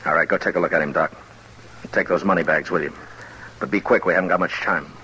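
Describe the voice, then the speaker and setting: An actor playing a tough, terse frontier marshal with a dry, commanding manner in an old radio western. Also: male voice, low voice, fairly even intonation, fast talker, background noise, natural voice. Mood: stern, commanding. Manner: terse, commanding, dryly sardonic. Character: tough, stern frontier US marshal telling his own story. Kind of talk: 1950s radio western acting and narration. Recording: old radio broadcast with loud music behind.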